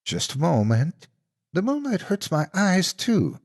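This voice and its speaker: A man voicing a menacing female monster in a horror folktale, taunting and threatening in a drawn-out delivery. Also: high voice, huge pitch swings, voice pushed up, full character voice. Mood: sly, coaxing. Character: menacing female clawed monster posing as an auntie.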